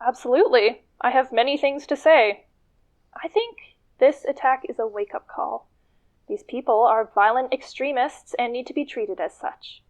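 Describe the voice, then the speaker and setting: A woman's voice: sits high, normal speed, natural voice. A woman playing an outspoken, ambitious female activist leader in a naturalistic radio play, on a dull recording. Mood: firm, guarded.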